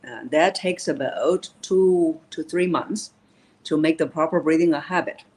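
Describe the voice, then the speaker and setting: A woman's voice: low-pitched, unhurried, a little mellow, rough recording. A woman voice coach in a live interview, explaining vocal technique in an instructive way. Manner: instructive, demonstrates voices while teaching. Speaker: voice coach and keynote speaker.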